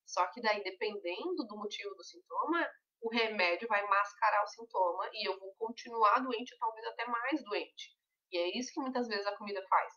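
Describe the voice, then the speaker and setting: A woman's voice: mid-range voice, normal speed, pushed and bright, distant mic, lo-fi sound. A woman leading a weight-loss mentoring session, talking conversationally and explaining things with everyday examples.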